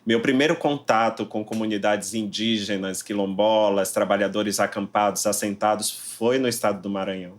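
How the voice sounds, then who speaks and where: A man's voice: lowish voice, fairly even intonation, moderate pace, hardly any pauses. A man in his forties from Salvador with a Bahian accent, answering interview questions in a reflective way, often ending thoughts with tag questions. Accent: Bahian Portuguese. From Brazil, Salvador. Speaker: interviewed novelist.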